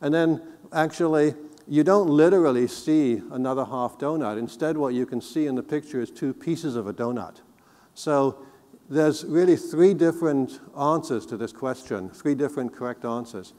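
A middle-aged man giving a conference keynote on a technical subject, explaining in a measured, deliberate way. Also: medium pitch, a little mellow. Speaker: keynote speaker. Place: large indoor conference hall, on stage. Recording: reverberant hall sound.

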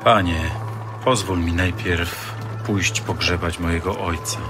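An actor playing a reluctant follower, respectful and pleading, in a dramatized audio Bible. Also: male voice, sits low, steady tone, a little slow, music in the background. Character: reluctant male follower asking leave.

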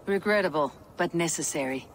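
A man's voice: fairly high pitch, fairly quick, slight background noise, lightly acted. An actor playing a guarded, commanding king of the gods in a video game, terse and resolute. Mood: cold, resolute. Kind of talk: video game cutscene acting.

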